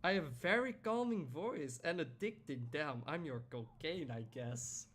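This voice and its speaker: A man's voice: fairly high pitch, expressive, a little slow, fairly bright tone, a little hiss or hum. A young man chatting casually on a live stream while gaming, rambling through stories and answering chat by name.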